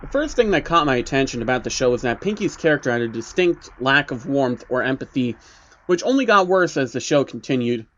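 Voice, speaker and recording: A man's voice: mid-range voice, expressive, fairly quick, fairly bright tone. A man narrating a scripted story in a casual, sardonic reviewer's manner. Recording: dull lo-fi recording with faint noise.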